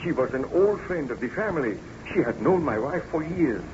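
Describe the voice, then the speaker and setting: An actor playing an anguished yet ardent baron scientist in old-time radio drama, formal and melodramatic, on an old radio recording. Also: male voice, a little high, expressive, moderate pace, dull audio, background noise, close to an everyday voice. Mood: reflective.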